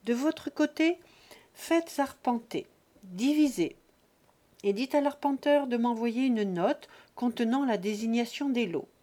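Narrator doing a placid notary, unhurried and businesslike, audiobook role. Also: male voice, very high voice, pitched above the performer's own voice. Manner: calm, businesslike. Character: sleepy unflappable old notary.

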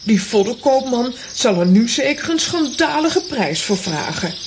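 An actress playing a brisk, practical, curious aunt in a children's audio play. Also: female voice, on the high side, big pitch swings, a little hiss or hum. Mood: pondering.

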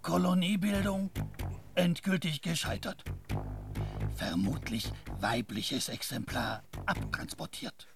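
A man playing a pompous animal scout in a radio drama, gravelly and clipped, delivering formal log entries. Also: on the high side, slow pace, music in the background. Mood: matter-of-fact. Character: pompous animal scout reporting on colony plans, male.

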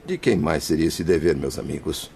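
Radio drama penitent: Brazilian, low-pitched, swelling and falling widely, formal, full of grateful remorse. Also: male voice, expressive, normal speed, vocal fry, a little mellow, a little hiss or hum, full character voice. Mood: anguished, remorseful. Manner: earnest, declamatory, formal. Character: Jerônimo, remorseful grateful male spirit of a suicide.

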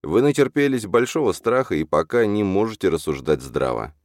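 A weary, courteous coachman in an audiobook, as a male narrator plays him. His low voice is measured and polite, with a wry, stubborn sense of duty. It is recorded dry and close. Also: big pitch swings, dry recording. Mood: wry, firm. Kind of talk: audiobook character voice.

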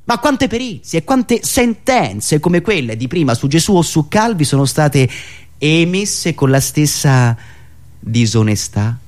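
A man delivering a satirical political monologue in an indignant, sarcastic, declamatory manner with dramatic pauses and repetitions. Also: a little high, sing-song, darkish tone. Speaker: satirical monologist and radio show host.

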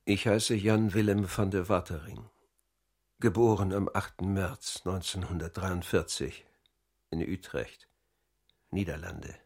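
An actor playing a middle-aged psychologist and academy director, giving a measured, steady account in a radio crime drama. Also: male voice, low voice, vocal fry, husky, exaggerated voice. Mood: matter-of-fact. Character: Dutch academy director, calm psychologist under interrogation.

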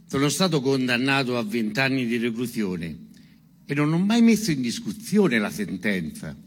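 A man giving a dramatic, declaimed reading of a statement in emphatic, measured phrases. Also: mid-range voice, sing-song. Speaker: performer reading a testimony. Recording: long reverberant hall echo.

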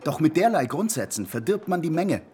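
An actor playing a stern, dogmatic young revolutionary tutor in a German radio play, lecturing in clipped, uncompromising phrases. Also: male voice, fairly high pitch, very animated, fairly quick, dark tone, slight background noise. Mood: stern, preachy.

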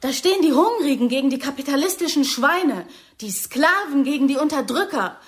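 A woman's voice: high-pitched, animated, fairly quick. A woman acting a defiant, idealistic young actress with radical politics in a German radio play, outspoken and stubborn. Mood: passionate, indignant.

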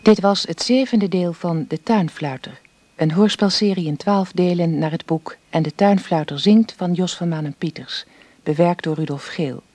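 Mellow, dry-voiced female announcer. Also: on the low side, moderate pace, no echo. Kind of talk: scripted closing credits.

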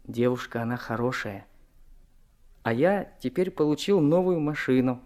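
A man plays a young newlywed truck driver in a 1970s Soviet radio drama. He is earnest, proud and stubborn, speaking in a plain, deliberate way at a middling pitch, leaning on his words. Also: animated, darkish tone, natural voice. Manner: emphatic, plain-spoken, deliberate.

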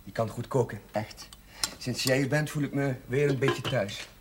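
A man playing a cheerful, warm-hearted young priest who laughs easily and gets exuberant. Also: medium pitch, normal speed, noisy background, natural voice. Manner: exuberant, laughing, breaks into song. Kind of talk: 1970s television drama.

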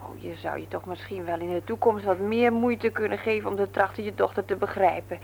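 An actress playing a brisk, chiding wife and mother in a Dutch radio play. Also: mid-range voice, creaky, a little mellow, natural voice. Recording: faint hum, old radio play.

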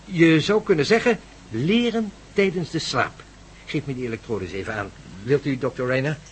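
A man's voice: a little high, lively intonation, normal speed, lightly acted. A male actor as a scholarly professor in an old radio play, lecturing formally and precisely. His intonation rises and falls freely over a noisy recording. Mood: confident, absorbed. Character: pedantic scholarly professor, confident and patient experimenter. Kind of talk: radio drama acting.

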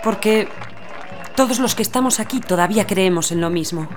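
A woman's voice: mid-range voice, a little fast, over music, natural voice. An actress playing a patient, idealistic mother explaining things earnestly to her child in a radio drama. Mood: patient, wary. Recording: busy outdoor street crowd behind.

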